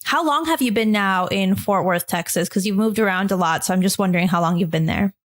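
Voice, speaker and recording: A woman's voice: mid-range voice, a little fast. A woman hosting a podcast, speaking in an informal, chatty way as presenter and interviewer. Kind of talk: scripted podcast intro and casual interview chat.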